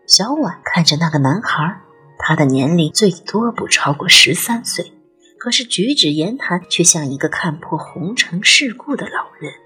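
A woman's voice: fairly low pitch, expressive, normal speed, pushed and bright, slightly rough, soft background music. A woman narrating a ghost story as a dramatic audiobook, telling it expressively and with suspense. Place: studio recording with music behind.

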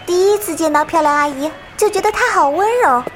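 A woman voicing an eager, innocent little child in a modern audio drama, with an excitable childlike manner. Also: very high voice, brisk, faint music behind. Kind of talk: modern Chinese radio drama acting.

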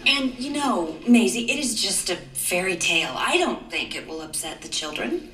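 A woman's voice: medium pitch, very animated, moderate pace, sharp tone. An actress playing a reasonable suburban mother who politely stands her ground in a TV drama. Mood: politely insistent. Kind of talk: TV drama acting. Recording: echoey room, distant from mic.

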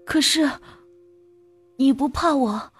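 A woman's voice: sits high, takes it slowly, faint music behind. A woman playing a hurt, earnest little boy in a radio drama, with a childlike, plaintive manner. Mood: hopeful, timid.

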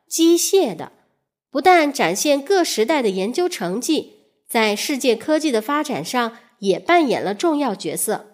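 A woman narrates an educational story for children. Her voice sits a little high and moves up and down in a very animated way. She addresses young listeners directly, drawing them in with questions.

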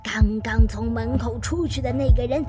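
A woman's voice: on the high side, normal speed, music in the background, lightly acted. A woman playing a suspicious, nosy old female neighbour in a sci-fi radio drama, secretive and insistent. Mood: suspicious, uneasy.

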